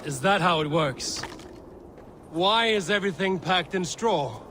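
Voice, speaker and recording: An actor playing a steady, dry-witted Viking raider leader in a video game. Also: male voice, high voice, measured pace, background noise. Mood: puzzled. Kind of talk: video game dialogue acting.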